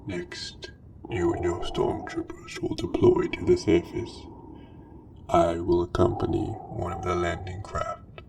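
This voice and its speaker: Over noticeable background noise and at some distance comes a menacing dark lord, acted out by a man, very low and gravelly. He issues military orders in a measured, breathy, commanding way, in the hushed style of an ASMR roleplay. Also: heavily acted. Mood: cold, commanding. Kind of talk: soft ASMR roleplay, scripted military briefing.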